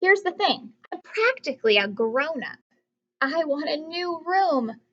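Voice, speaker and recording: Casting: woman as persuasive young son in a storybook, self-important and emphatic, unhurried, high voice. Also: expressive, a bit bright, lo-fi sound. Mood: eager, boastful. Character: persuasive, self-important school-age boy writing to his dad. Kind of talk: storybook read-aloud.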